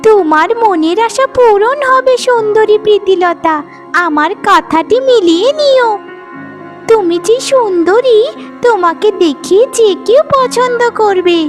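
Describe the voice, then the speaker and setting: A woman's voice: very high voice, animated, a little slow, soft background music. A woman voicing a kindly, encouraging forest companion in a cartoon fairy tale, with sing-song reassurance. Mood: delighted, encouraging.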